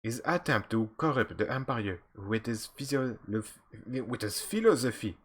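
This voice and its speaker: A man voicing a stern male alien warrior admiral from a game, reading the lines a little stiffly with husky tone. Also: medium pitch, lively intonation, measured pace. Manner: reads stiffly with slips.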